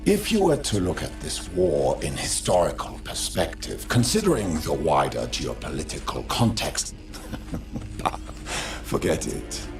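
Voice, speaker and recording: A man's voice: rumbling low, huge pitch swings, slow pace, heavily acted. A man playing a cold, smug grand chancellor and army commander in a video game, speaking formally with condescending menace. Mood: cold disdain. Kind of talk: dramatic video game acting. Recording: echoey, with music behind.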